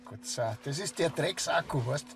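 An actor playing a blunt police officer who swears freely, with music behind. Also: male voice, fairly high pitch, animated, moderate pace. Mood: gruff. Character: gruff male police officer giving orders.

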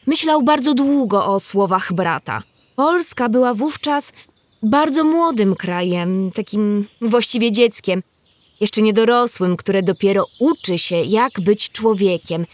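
A woman narrating a scripted story for children, explaining things directly to young listeners. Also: on the high side.

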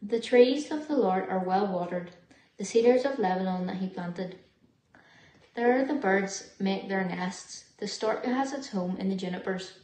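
A young woman reads from the Bible in a measured, plain way. Her voice sits at a medium pitch. The home recording has clear room echo. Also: dark tone. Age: young adult. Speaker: scripture reader. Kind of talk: read-aloud Bible passage. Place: living room, seated indoors. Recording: roomy indoor home recording.

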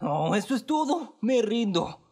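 A woman's voice: on the high side, big pitch swings, unhurried, dark tone, husky, exaggerated voice. A woman voicing an earnest, easily exasperated teenage boy in an amateur cartoon dub, with a Latin American accent. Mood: dejected.

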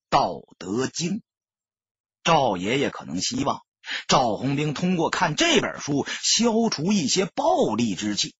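A man narrating an audiobook in a dramatic storytelling style, with a husky, raspy voice. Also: on the high side, a bit bright, no echo, highs cut off.

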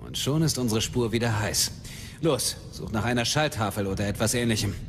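An actor playing a brisk, authoritative military captain with clipped delivery in a radio drama. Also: male voice, medium pitch, a bit bright, slight background noise, natural voice. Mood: commanding. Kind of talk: German radio drama acting. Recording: long hall-like reverb.

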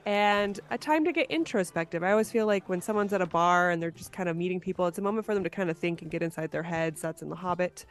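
A woman hosts a casual interview podcast. Her voice sits a little low and sounds clean and smooth. She speaks conversationally, as in an informal chat. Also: fast, hardly any pauses. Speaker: podcast host.

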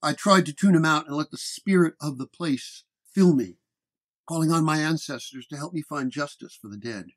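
Male narrator, slightly high-pitched, measured delivery for a first-person noir horror story.

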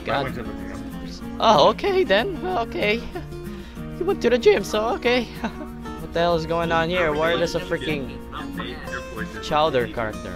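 A young man casually commenting while playing a social VR game, joking, laughing and breaking into loud outbursts. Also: on the high side, big pitch swings, measured pace, pauses often. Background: game music.

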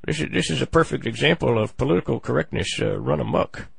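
A man with a gravelly voice making a comic prank phone call, halting and full of mock-earnest complaint. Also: medium pitch, no echo. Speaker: prank caller on a comedy show.

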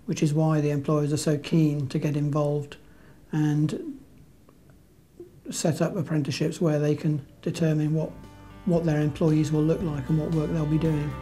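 A middle-aged man giving measured, formal answers in an interview about his profession. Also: a little high, little pitch movement, mellow, faint music behind. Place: small plain indoor room.

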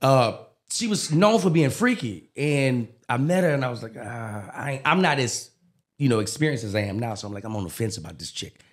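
A man's voice: medium pitch, animated, brisk. A man, a podcast guest, telling a profane, joking story in a studio on a desk mic. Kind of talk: comic storytelling. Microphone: desk mic on boom arm.

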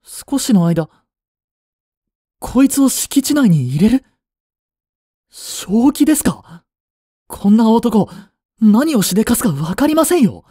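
Casting: male actor as a cool young butler, formal, devoted and jealous, dark mellow tone, close dry sound, romantic audio drama. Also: extremely high pitch, sing-song, slow, long pauses, breathy edge, dry recording, heavily acted. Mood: flustered, protesting. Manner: formal, polite to his mistress, curt to rivals.

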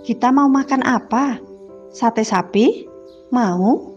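A woman's voice: fairly high pitch, slow, darkish tone. A woman voicing a fussy city mother who coaxes and sighs in exasperation. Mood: coaxing. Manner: coaxing, exasperated. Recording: dull lo-fi sound with music.

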